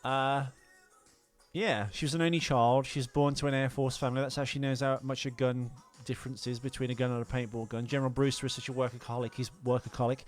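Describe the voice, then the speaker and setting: A man's voice: medium pitch, flat delivery, fast. A man in casual film podcast banter, teasing and opinionated. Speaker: podcast co-host. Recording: music playing behind the talk. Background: film soundtrack music.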